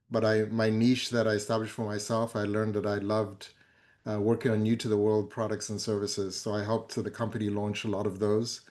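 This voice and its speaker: A man in his fifties, from Zambia, speaks in a low voice that barely rises or falls. He talks as a conference guest in a fireside chat, measured and pausing often on fillers. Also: a little mellow. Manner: measured, with many hesitant fillers. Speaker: board member, guest speaker.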